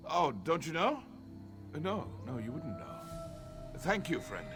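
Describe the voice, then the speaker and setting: A nervous, shifty archaeologist for a video game, with a higher male voice that swings widely in pitch. He stammers evasively and sounds eager and obsessive, over background music. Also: very animated, a little slow. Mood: excited, greedy. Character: nervous, lecherous, obsessive amateur archaeologist man. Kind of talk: video game character acting.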